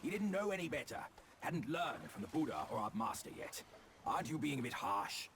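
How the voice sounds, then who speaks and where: An actor voicing a cheeky, vain but good-natured pig demon in a video game cutscene, playful and protesting. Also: male voice, a little high, sing-song, a little fast, fairly bright tone, slight background noise. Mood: defensive, playful.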